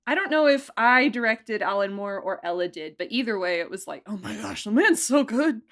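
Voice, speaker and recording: A woman's voice: medium pitch, animated, brisk, a bit bright. A woman speaking as an audio drama director and producer in a casual interview, enthusiastic and emphatic, often giggly.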